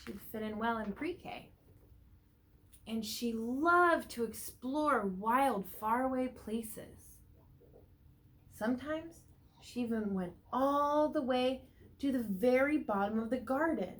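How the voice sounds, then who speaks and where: Slow, higher-voiced woman reading to children. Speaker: teacher reading a picture book aloud to children. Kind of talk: read-aloud storytelling with asides and questions to young listeners.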